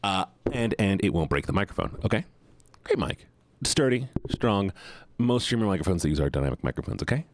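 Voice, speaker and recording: Deep-voiced goofy male streamer. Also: expressive, fairly quick, background noise. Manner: playful and goofy, repeats words, sudden high-pitched yelps and barks; gushing and sincere when thanking viewers. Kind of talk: casual live-stream chat. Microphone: studio microphone at a streaming desk.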